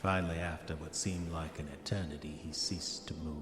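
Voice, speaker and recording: A man's voice: very deep voice, fairly even intonation, a little fast. An actor playing a haunted, hot-tempered prospector confessing in his diary, with formal old-fashioned, brooding delivery in a horror audio drama. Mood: grim, self-justifying. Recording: echoey voice with long reverb, music behind. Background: music.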